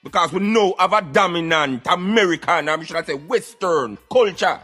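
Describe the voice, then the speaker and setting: An emphatic Jamaican Rasta played by a man holds forth on a satirical radio show. His voice is highish and forceful, preachy and streetwise, with faint music behind. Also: lively intonation, normal speed, husky, heavily acted. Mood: insistent, preachy. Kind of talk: satirical radio rant in patois.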